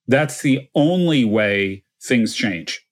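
A man in a casual discussion, explaining ideas in a measured way with some stammered starts. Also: mid-range voice, animated.

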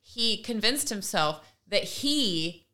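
A woman's voice: mid-range voice, expressive, takes it slowly, pushed and bright. A woman co-hosting a conversational podcast, casually retelling things she has heard. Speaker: podcast co-host.

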